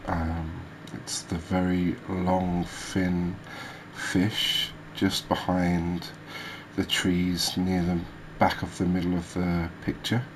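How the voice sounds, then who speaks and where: A man hosting a gaming video, giving soft ASMR commentary in a halting, hesitant manner. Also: sits low. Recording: steady background noise.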